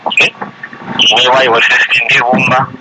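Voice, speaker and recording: A man with a Zambian accent giving his opinion casually as a radio caller, halting and repeating himself as he switches between languages. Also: mid-range voice, flat delivery. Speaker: phone-in caller. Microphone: phone call. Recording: very noisy phone call on radio.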